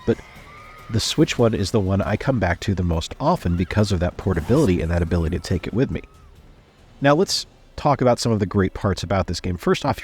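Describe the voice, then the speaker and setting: A man's voice: fairly low pitch, darkish tone, slightly rough. A man hosting a game review voice-over, congested from a sinus infection and talking with gushing enthusiasm. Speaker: game channel host.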